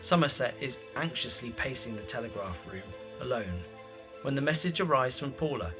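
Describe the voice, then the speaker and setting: A man narrates an audio drama in a mid-pitched voice. His delivery is scripted scene description with plenty of rise and fall, and music plays clearly underneath. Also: expressive, normal speed.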